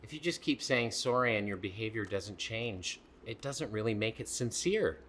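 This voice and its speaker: Bright, very animated male children's storyteller. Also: fairly low pitch, moderate pace, slight background noise. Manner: emphatic, exclamatory, addresses children directly. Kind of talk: animated read-aloud for young children.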